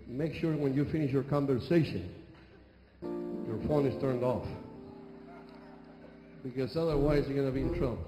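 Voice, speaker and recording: A middle-aged man with an Argentine Spanish accent preaches from a church stage into a handheld mic, joking and stumbling through restarts. His voice rings with long reverb in a big sanctuary, and music is clearly audible behind him. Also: mid-range voice. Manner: halting, frequent restarts and fillers, humorous storytelling. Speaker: bishop. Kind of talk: sermon. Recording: echoey church hall with music.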